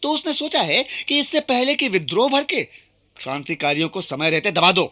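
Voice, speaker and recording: A man's voice: sits high, big pitch swings, brisk, pushed and bright, exaggerated voice. An actor playing a shrewd, indignant Indian townsman in a radio drama, explaining things in a folksy, emphatic way. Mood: knowing, indignant.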